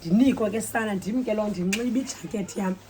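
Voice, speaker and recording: A woman's voice: medium pitch, fast talker, slight background noise. A woman with a South African Xhosa accent telling a story casually and expressively, switching languages mid-sentence. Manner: animated, code-switches mid-sentence, exclaims and acts out dialogue. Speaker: storytime vlogger.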